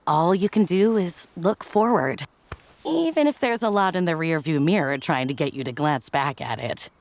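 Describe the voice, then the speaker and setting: A woman's voice: low voice, lively intonation, normal speed, dry recording. A woman voicing an easygoing, frank and supportive woman in a motion comic, with casual, slangy phrasing. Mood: consoling.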